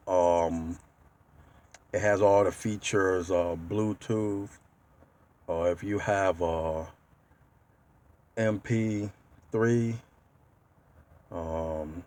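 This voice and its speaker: A man with a low voice walks the viewer through a do-it-yourself job. His delivery is slow and halting, with pauses as he searches for the next word. The tone is that of a casual, informal tutorial. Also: mellow. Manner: halting, pauses mid-sentence to find words. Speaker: DIY car video host. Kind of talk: casual how-to walkthrough. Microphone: handheld tablet.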